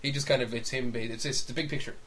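A man's voice: mid-range voice, steady tone, rapid-fire, fairly bright tone. A man co-hosting a podcast in casual chat, informal and opinionated, rambling as he gives his views and recommendations. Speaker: podcast host. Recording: faint background hiss.

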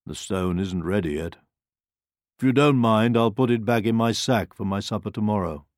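A man voicing a sly, courteous, unhurried big brown wolf in a storybook reading. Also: on the low side, darkish tone, no echo, clean studio sound, lightly acted. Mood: mild, sighing. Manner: polite, murmuring, deliberate. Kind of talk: storybook character voice.

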